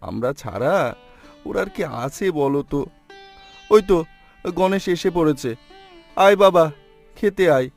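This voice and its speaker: A man's voice: fairly high pitch, lively intonation, unhurried, pauses often, darkish tone, soft background music. A man voicing a caring but exasperated middle-aged uncle in a cartoon, pleading and protesting. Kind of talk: cartoon voice acting.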